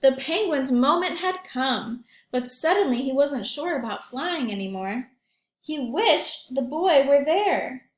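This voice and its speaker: A woman teacher reading aloud and talking to young children in an expressive storytelling manner, with questions and asides. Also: sits high, takes it slowly, echoey room. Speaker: primary school teacher reading aloud to pupils.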